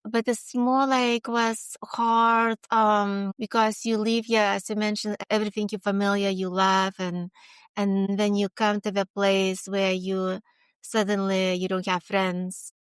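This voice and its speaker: Smooth, even-toned Lithuanian woman. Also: mid-range voice, a little slow, no room sound. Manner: earnest, searching for words, frequent "like" and restarts. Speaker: guest, HR consultant. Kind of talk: interview conversation.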